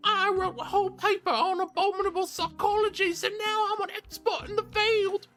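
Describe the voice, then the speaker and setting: Mocking prim-girl parody male actor, extremely high and sharp, sing-song taunting for radio comedy-drama. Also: level tone, normal speed, faint music behind. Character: mocking, squeaky falsetto parody of a prim young woman.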